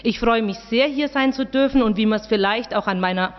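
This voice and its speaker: A woman's voice: fairly high pitch, brisk. A woman with a Swabian-Bavarian accent from the Augsburg area, the new head of a daycare centre, giving a cheerful, friendly welcome speech in church. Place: large church. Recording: dull, reverberant church recording.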